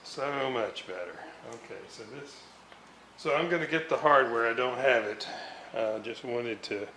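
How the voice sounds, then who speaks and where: Man, very slow pace, halting mutter, hands-on workbench demonstration, clear background noise. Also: medium pitch. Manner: halting, muttering to himself while working. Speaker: radio restorer. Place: indoor room, at a workbench. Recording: roomy, noisy home recording.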